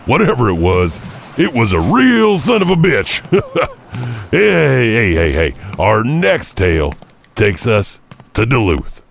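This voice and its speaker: A man playing a mysterious janitor who hosts a horror podcast, narrating eerie legends theatrically in a gravelly voice. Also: medium pitch, wildly swooping pitch, slow pace, full character voice. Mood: gruff, showy. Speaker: horror storytelling podcast host.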